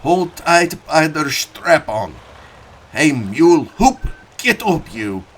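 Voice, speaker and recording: Guy doing a talkative old german farmer, thick accent, loud and declaiming, slow. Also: medium pitch, lively intonation. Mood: shouting. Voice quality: broken English, exclaiming. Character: older German immigrant farmer man, proud and talkative.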